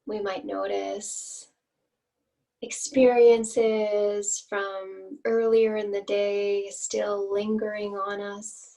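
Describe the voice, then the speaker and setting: A woman leading a guided meditation as an instructor, with gentle, inviting phrasing and long pauses, recorded at some distance from the mic. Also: medium pitch, little pitch movement, gravelly.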